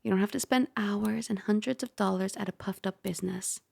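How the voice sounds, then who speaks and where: A woman playing a caring, teasing dragon girl roommate in an ASMR roleplay, soft, chatty and gently instructive. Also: mid-range voice, brisk, vocal fry, dry recording. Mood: gentle, reassuring.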